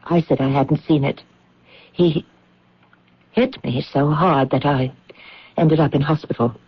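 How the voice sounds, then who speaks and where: A woman's voice: low voice, steady tone, a little slow, husky, dry recording. An actress playing an anxious widow in a radio drama, earnest and hesitant as she speaks. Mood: confiding. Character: anxious British widow confessing a secret to officials. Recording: dull, muffled old radio broadcast.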